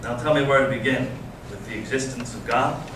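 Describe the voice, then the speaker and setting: A man playing a bitter, intense young intellectual brother, reading deliberately and earnestly in a staged reading. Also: mid-range voice, natural voice. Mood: challenging. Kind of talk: staged readers theater reading. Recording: echoey room, distant mic, noisy amateur recording.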